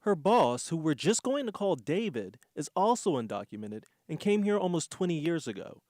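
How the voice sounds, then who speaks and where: A man's voice: a little high, big pitch swings, moderate pace, a little mellow, slight background noise. A man with an American accent reading scripted TV news as an anchor and reporter. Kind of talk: scripted broadcast news narration.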